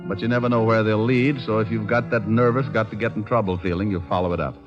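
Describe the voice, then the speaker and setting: A dull, vintage radio recording of a hard-boiled private eye, played by a man. His voice is fairly low, his delivery dry and flippant, with clipped wisecracks. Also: steady tone, fast, faint music behind, natural voice. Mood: narrating. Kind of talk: 1950s radio detective acting and narration.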